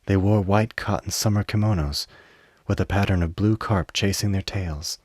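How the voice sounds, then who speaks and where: A man's voice: sits low, moderate pace, a little mellow, breathy edge, dry recording, studio quality. A man reading scripted narration for a radio play.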